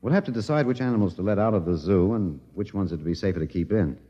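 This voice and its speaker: An actor playing a wry, tender male survivor in a 1950s radio drama, musing and gently hesitant. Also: deep voice, fast. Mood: musing, earnest. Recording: dull vintage radio recording, long reverb.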